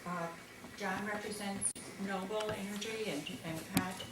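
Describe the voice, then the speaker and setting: A woman chairing a town meeting, introducing the speakers in a measured way and setting out the agenda. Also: mid-range voice, fairly even intonation. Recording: echoey hall from far off with hiss.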